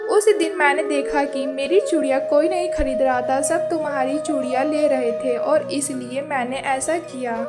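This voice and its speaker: A woman's voice: sits high, steady tone, moderate pace, hardly any pauses, lightly acted. A woman voicing a sly, envious female crow in a children's cartoon, plaintive and defensive. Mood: guilty, pleading. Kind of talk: scripted children's cartoon story. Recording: music behind the voice.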